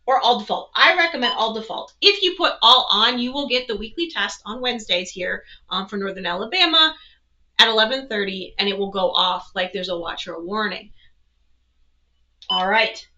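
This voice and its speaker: A woman's voice: medium pitch, normal speed, pushed and bright, highs cut off, slight background noise. A woman presenting an instructional product walkthrough, explaining things step by step. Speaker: presenter, weather educator.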